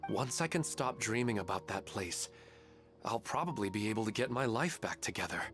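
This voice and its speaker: A man's voice: fairly low pitch, lively intonation, normal speed, a bit bright, soft background music. A man playing a grieving widowed father in a video game, polite, reflective and hesitant. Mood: wistful, reflective.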